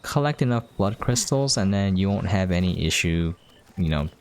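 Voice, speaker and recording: A man's voice: low-pitched, animated, moderate pace, a little mellow. A man giving casual game commentary as a video host, in a laid-back, rambling way. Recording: clear background noise.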